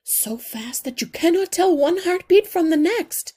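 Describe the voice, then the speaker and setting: A woman's voice: very high voice, expressive, a little slow, warm tone, pitched above the performer's own voice. A woman voicing an anxious, polite mother mouse who speaks in an earnest, worried way for a children's storybook. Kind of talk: children's storybook read-aloud.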